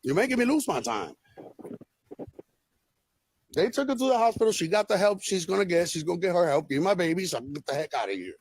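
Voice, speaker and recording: A man with a deep, gravelly voice, recording himself on a phone while he angrily insists on taking his daughter home. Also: sing-song, fast, long pauses, no room sound. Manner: heated, insistent, repetitive. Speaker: father recording a confrontation. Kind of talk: agitated self-recorded rant.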